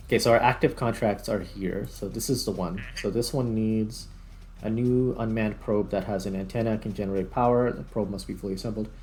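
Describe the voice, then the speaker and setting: Low-voiced man narrating his gameplay. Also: steady tone, a little fast, a little mellow. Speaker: gaming video host. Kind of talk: casual gameplay commentary, thinking aloud. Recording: music playing behind the voice. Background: background music.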